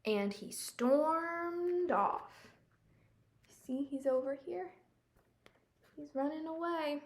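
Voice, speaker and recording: A woman's voice: high voice, extremely slow. A woman storyteller reading a picture book aloud, expressively explaining and asking young listeners questions.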